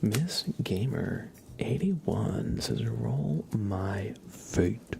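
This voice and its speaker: A man hosting an ASMR livestream, giving card readings in a gentle, halting way as he thinks aloud. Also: fairly low pitch, animated, vocal fry, slight background noise. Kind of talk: whispered ASMR, casual tarot card reading.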